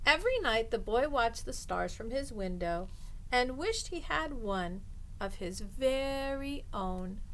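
A middle-aged woman reading a picture book aloud to children as a storytime host, expressively and deliberately, drawing out the ends of phrases. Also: fairly high pitch, lively intonation, a bit bright, slight background noise, poor audio. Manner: deliberate, drawing out phrase endings for young listeners. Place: small indoor room lined with bookshelves.

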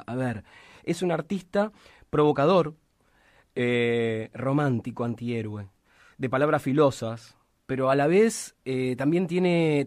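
A middle-aged man with an Argentine accent hosting a radio interview in a small studio, talking at an unhurried pace with frequent restarts. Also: mid-range voice, a little mellow, no echo. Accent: Argentine Spanish. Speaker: radio host. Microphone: studio mic with headphones.

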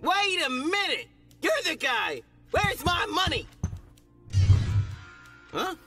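Squeaky, excitable robot bunny. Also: male voice, takes it slowly, over music, full character voice. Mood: indignant. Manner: excitable, naive, blurting. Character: small manic childish male robot bunny.

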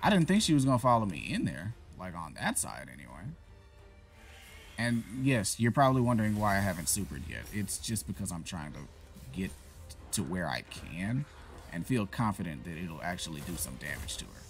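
A man's voice: low voice, very animated, moderate pace, vocal fry. A man casually commentating his gameplay, frustrated and swearing at the boss. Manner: frustrated, profane, talks to himself. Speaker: gaming YouTuber. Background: game music.

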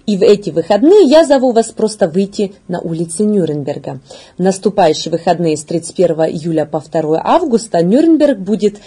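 A woman in her thirties reading scripted news in a studio, with measured, formal delivery. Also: on the low side, warm tone, slightly rough. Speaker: news presenter. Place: indoor studio.

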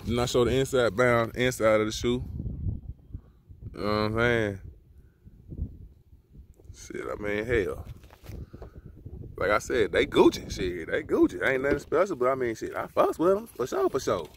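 A man's voice: medium pitch, big pitch swings, moderate pace, long pauses, slight background noise. Laid-back slangy man reviewing sneakers outdoors. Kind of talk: casual product review vlog. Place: outdoors beside a car in a yard.

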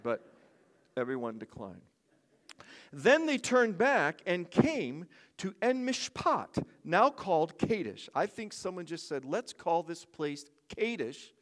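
An older man preaching a sermon from a church stage lectern, conversational, with joking asides. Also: on the high side. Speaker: pastor.

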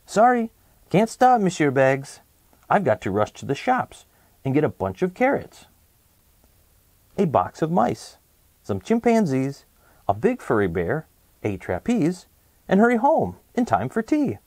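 A man voicing a hurried, muddled young boy on an errand in a children's picture book, reciting in a breezy singsong. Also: a little high, huge pitch swings, a little mellow, dry recording, heavily acted. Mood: hurried, confused.

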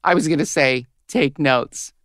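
A woman in her fifties playing a crude, cynical radio host with a smoker's gravelly voice in a comedy audio drama. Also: low-pitched, a little slow, dry recording, clean studio sound. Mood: brash, cynical. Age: 50s. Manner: brash, foul-mouthed, switching to syrupy on air. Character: crude, cynical, hard-bitten 57-year-old female radio host.

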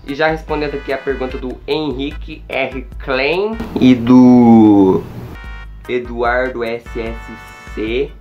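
A man's voice: medium pitch, flat delivery, takes it slowly, dull audio, faint music behind. A teenage boy with a Brazilian Portuguese accent, answering viewer questions in a loose, unscripted vlog, joking and swearing a lot, filmed on a phone in a small bedroom. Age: teens. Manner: slangy, jokey, swears a lot, self-mocking. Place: small bedroom with wooden walls, indoors. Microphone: phone or webcam at arm's length.

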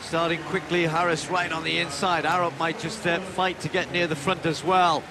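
A man giving live play-by-play athletics commentary, building to shouts as the race unfolds. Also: a little high, little pitch movement, normal speed. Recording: broadcast commentary over loud stadium noise.

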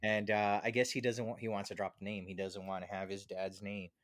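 A man's voice: lowish voice, level tone, fairly quick, fairly bright tone. A man in his thirties chatting casually and jokingly on a podcast in informal, slangy speech, close on the microphone. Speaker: podcast co-host. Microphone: close podcast microphone with headphones.

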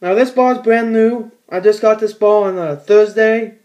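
A man's voice: high-pitched, moderate pace, darkish tone, muffled recording. A teenage boy in a small room at home, giving a casual how-to in a rambling, plain-spoken way with many fillers and restarts. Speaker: bowler demonstrating ball cleaning.

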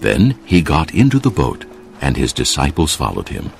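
A man giving scripted narration for a dramatised audio Bible in a measured, solemn storytelling manner. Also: very deep voice, wildly swooping pitch, vocal fry, soft background music.

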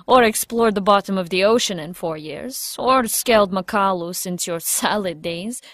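A woman's voice: mid-range voice, normal speed, fairly bright tone, no echo, studio quality, lightly acted. A woman playing a confident, teasing ex-agent and operations chief in an audio drama, with a playful, coaxing manner.

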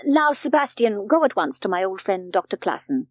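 A woman voicing a formal, authoritative gentleman of the house in a storybook, with a brisk manner. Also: medium pitch, very animated, no room sound, studio quality. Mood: brisk, commanding. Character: commanding, anxious wealthy gentleman father of the house.

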